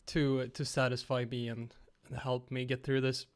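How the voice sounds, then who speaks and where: Young man, slightly raspy livestream host. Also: mid-range voice, normal speed. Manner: relaxed, rambling, talks to chat. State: slightly raspy after being ill. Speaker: livestream host teaching game creation. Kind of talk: casual livestream tutorial. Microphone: headset.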